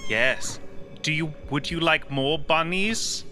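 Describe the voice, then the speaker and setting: Guy doing a nervous nice negotiator in an rpg, bright voice, careful and coaxing. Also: fairly high pitch, lively intonation, slow pace, voice pushed up, full character voice. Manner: gentle, coaxing, hesitant. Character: polite, anxious negotiator coaxing a big creature.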